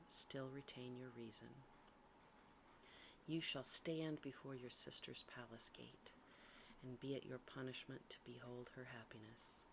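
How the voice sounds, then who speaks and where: A woman voicing a stately fairy lady who passes judgement in a hushed, solemn, formal manner. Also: rumbling low, gravelly, a little hiss or hum, pitched below the performer's own voice, low-quality recording.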